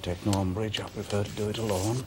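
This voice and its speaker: A man acting in a television drama as a weary, stubborn man, speaking in a halting, muted way. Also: lowish voice, flat delivery, background noise, natural voice. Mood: stubborn, dismissive. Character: weary, stubborn man keeping a bedside vigil.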